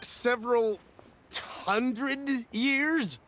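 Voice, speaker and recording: A man voicing an anxious, cautious and excitable merchant in a video game, fretful and polite. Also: very high voice, very slow, slight background noise, heavily acted. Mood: incredulous. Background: game music.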